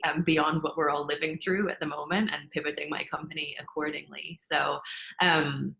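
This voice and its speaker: A woman's voice: deep voice, pushed and bright, poor audio. A woman from Scotland in her thirties, speaking reflectively as a panelist on a video call. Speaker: panelist, agency founder. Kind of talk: virtual panel talk.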